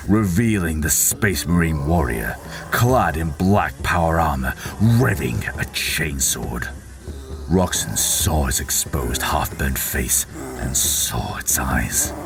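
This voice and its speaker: A man's voice: very deep voice, vocal fry. A man narrating a fiction audiobook in a dramatic, scripted storytelling style. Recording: voice over prominent background music.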